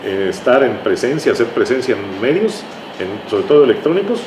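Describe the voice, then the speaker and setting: Older male, Mexican accent, mid-pitched, conversational interview guest with halting explanations; roomy, noisy recording. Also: sing-song. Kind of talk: conversational reminiscing. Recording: echoey room with clear background noise.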